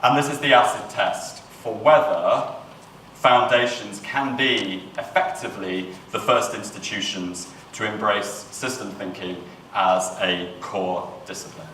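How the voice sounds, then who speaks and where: A man with a lowish voice gives a brisk, earnest conference talk. He sounds distant in an echoing room, with faint noise behind him. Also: bright, forceful tone. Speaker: panel speaker. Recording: roomy, distant mic.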